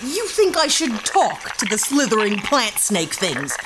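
A woman's voice: fairly high pitch, sing-song, unhurried. A woman playing a sarcastic heroine lost in the woods. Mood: frantic, exasperated. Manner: sarcastic, exasperated. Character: sharp-tongued young woman lost in an alien forest. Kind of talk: audio drama acting. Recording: forest ambience noise behind.

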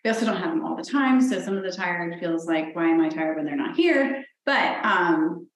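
A woman in her forties co-hosting a podcast, chatting casually in a soft-spoken, self-deprecating way. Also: fairly low pitch, expressive, moderate pace. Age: 40s. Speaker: podcast co-host. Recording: very echoey room.

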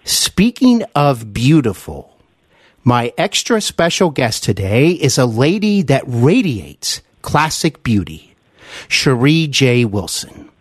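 Effusive American podcast host, lively male voice. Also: mid-range voice, slow, slightly rough, dry recording, studio quality. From United States. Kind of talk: scripted podcast introduction.

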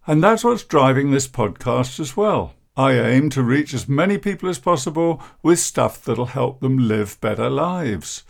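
A man in his sixties, a therapist hosting his own podcast, talking in a deep, croaky, downbeat and self-deprecating way. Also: expressive, a little slow, hardly any pauses. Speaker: podcast host, therapist and coach. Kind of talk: reflective podcast monologue.

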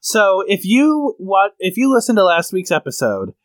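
A lively man co-hosting a comedy podcast, his voice sitting a bit high and bouncing around a lot as he explains and tells stories. He is close on the mic in a dry, dead room. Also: moderate pace, no echo. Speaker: podcast co-host. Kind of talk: casual comedy podcast chat.

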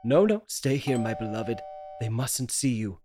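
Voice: mid-range voice, animated, a little slow, over music, lightly acted. A tormented husband from a radio horror play, played by an actor, with a mid-range voice. The delivery is melodramatic and pleading, that of someone guarding a terrible secret. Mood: desperate, tender. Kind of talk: old-time radio drama table read.

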